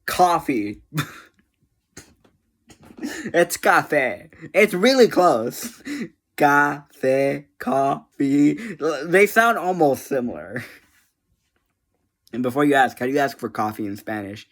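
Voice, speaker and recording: An online creator chatting casually to viewers in a rambling, easily distracted way, energetic, giggly and self-deprecating. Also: medium pitch, slow pace, long pauses.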